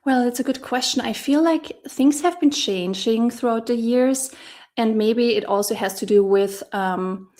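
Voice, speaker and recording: A woman from Austria working as a therapeutic coach, speaking over a video call in a thoughtful, hesitant way with many pauses and fillers. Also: a little high, warm tone. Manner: thoughtful, hesitant, many ums, laughs often. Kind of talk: casual interview talk.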